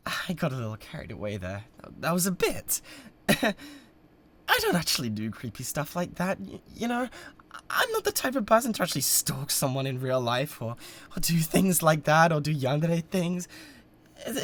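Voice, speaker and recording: A man's voice: on the high side, sing-song, normal speed, fairly bright tone. A British man playing a bratty, obsessive yandere livestreamer in a dramatic audio roleplay, talking to viewers in a theatrical, stammering, defensive way. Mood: sheepish, placating.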